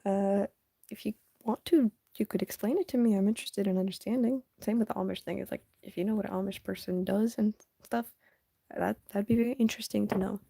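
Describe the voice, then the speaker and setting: A young woman chatting casually on a live stream while she draws, soft and half-whispered, sniffly, her voice going hoarse. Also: mid-range voice, fairly even intonation, a little fast, no room sound. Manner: soft, often half-whispered, hesitant and rambling.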